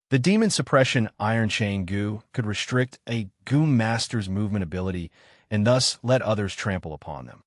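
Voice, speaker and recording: A man narrating a fantasy novel in a steady, dramatic reading as audiobook narrator. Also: fairly low pitch, dry recording, studio quality.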